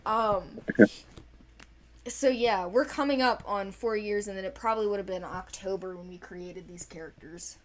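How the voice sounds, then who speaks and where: A giggly, animated woman rambling casually as a co-creator talking about original characters. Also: medium pitch, moderate pace, a little hiss or hum, poor audio. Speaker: creator of an animated series project.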